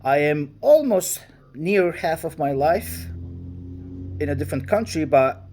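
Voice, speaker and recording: A man in his forties from Turkey, speaking English with a Turkish accent in a formal, deliberate way, pausing to search for words in a response vlog. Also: on the high side, animated, a little mellow, faint music behind. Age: 40s. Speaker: vlogger. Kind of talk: direct-to-camera response monologue.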